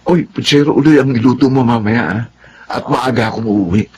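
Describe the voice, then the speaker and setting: A man with a Filipino accent acting an easygoing, friendly young man of the house in a radio drama, with a husky voice. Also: medium pitch, brisk, a little mellow, lightly acted. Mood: casual, friendly.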